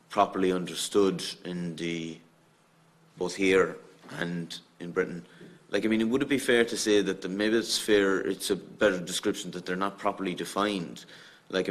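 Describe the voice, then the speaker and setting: Committee member, very deep voice, rambling questions. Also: male voice, a bit bright. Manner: rambling, full of fillers like "I mean". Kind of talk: parliamentary questioning.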